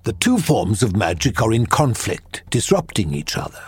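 An actor playing a grave sorcerer mentor in a fantasy audio drama, explaining things earnestly and urgently. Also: male voice, fairly low pitch, big pitch swings, normal speed, breathy edge, dry recording, full character voice.

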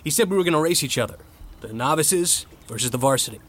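An actor playing an earnest, competitive young novice rower, narrating an audio drama in the first person with candid introspection. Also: male voice, a little high, lively intonation, quick pace, fairly bright tone. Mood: puzzled, recounting. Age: young adult. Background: music.

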